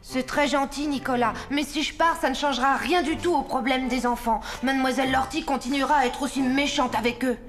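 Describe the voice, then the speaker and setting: Gentle high-voiced orphan boy. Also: fairly even intonation, fairly quick, hardly any pauses, soft background music. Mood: gentle, worried. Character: gentle, considerate orphan boy, loyal friend. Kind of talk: children's cartoon dubbing.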